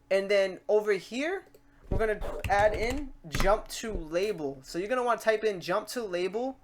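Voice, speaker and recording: A tutorial host with an androgynous, mid-pitched voice walks through software steps in an informal way. The delivery is conversational and unscripted, with the speaker often talking through each step to themselves. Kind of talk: casual software tutorial, thinking aloud.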